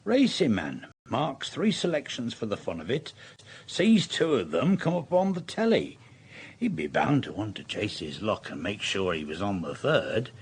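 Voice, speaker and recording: A man's voice: fairly low pitch, huge pitch swings, moderate pace, husky, full character voice. An actor playing a plain-speaking male police sergeant in a radio drama, with a dry, clipped manner. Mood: matter-of-fact.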